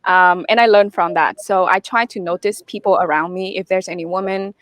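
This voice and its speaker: A woman's voice: fairly low pitch, steady tone, brisk, a bit bright, no room sound. A woman speaking as an interview guest, earnest and thinking aloud with many fillers. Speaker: guest, security researcher. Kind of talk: candid interview conversation.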